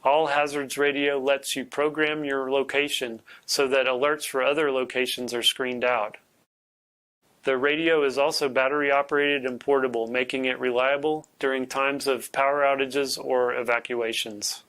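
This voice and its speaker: A man's voice: medium pitch, level tone, normal speed, fairly bright tone, clear, resonant tone. A man with a middle-range voice reads a scripted public information message. He presents it in the role of a weather service technician.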